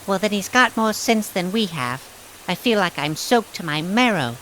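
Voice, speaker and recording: A woman's voice: mid-range voice, lively intonation, normal speed, a bit bright, lightly acted. A woman playing a prim, earnest companion from a fantasy realm, with formal, old-fashioned phrasing in an audio drama. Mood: exasperated. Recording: long reverb, faint rain noise.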